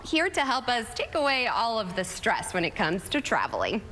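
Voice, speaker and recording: A woman's voice: fairly high pitch, very animated, moderate pace, sharp tone. A woman hosting a TV interview in an effusive, encouraging way. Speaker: TV show host interviewing a guest. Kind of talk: upbeat morning TV interview. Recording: reverberant hall, some background noise.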